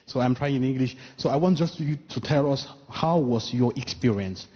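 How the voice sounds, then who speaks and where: Journalist, male, French first language, halting English, recorded dull in a reverberant press hall. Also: medium pitch. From Equatorial Guinea. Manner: halting, searching English with many restarts. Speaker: journalist asking a question. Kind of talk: press conference question. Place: press conference room.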